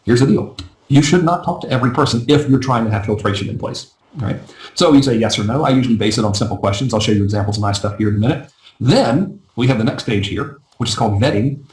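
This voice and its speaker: Brief: man running a live webinar, rapid chatty delivery, slightly hoarse, echoey room. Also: mid-range voice, a little mellow. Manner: fast, chatty, self-deprecating, often asks "right?". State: losing his voice. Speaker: business coach teaching a live webinar. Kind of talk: casual live webinar teaching.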